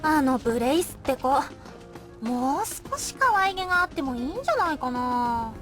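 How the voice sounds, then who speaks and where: A woman's voice: sits high, lively intonation, rapid-fire, music in the background. A woman voicing a perky young treasure hunter in a game, cute and friendly in an anime style. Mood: musing. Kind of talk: Japanese anime-like game character voice acting.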